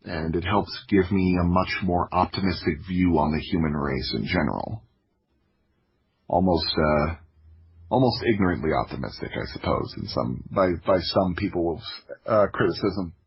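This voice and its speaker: Deep, husky-voiced man telling personal stories. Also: moderate pace, dull audio. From Florida, United States. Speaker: podcast guest, audio drama creator. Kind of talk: personal storytelling in an interview.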